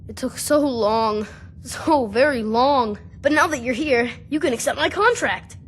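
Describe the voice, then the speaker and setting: A high, childlike voice of a troubled orphan boy in a fantasy video game. He pleads and chants with fervent, earnest intensity and a thirst for revenge. Faint noise and ambience sit in the captured game audio. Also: a little slow. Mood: mournful, bitter.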